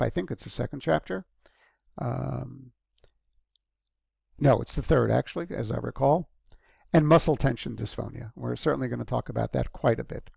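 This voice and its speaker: A male lecturer speaks to his students in a loose, conversational way, pausing on ums and correcting himself as he goes. His voice has a strong gravelly fry. The recording is close and completely dry. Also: medium pitch, a little mellow, dry recording. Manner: conversational, frequent ums and restarts. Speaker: university lecturer.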